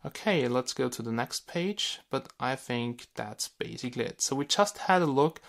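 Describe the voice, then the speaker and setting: A man's voice: mid-range voice, expressive, moderate pace, vocal fry, a bit bright. A man with a German accent and native German, giving a casual hands-on gadget review as a video host.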